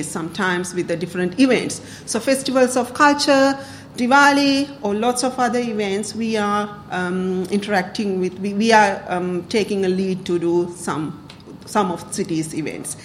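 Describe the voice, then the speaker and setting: A woman's voice: mid-range voice, normal speed, slight background noise. A woman from Sri Lanka giving a public talk to a club, speaking hesitantly with many fillers and the odd laugh. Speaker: community council speaker.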